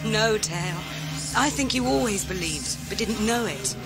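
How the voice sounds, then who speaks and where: An actress playing a serene mystical prophet in an audio drama, solemn and incantatory. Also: female voice, medium pitch, lively intonation, normal speed, loud music behind the voice, natural voice. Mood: serene, exalted.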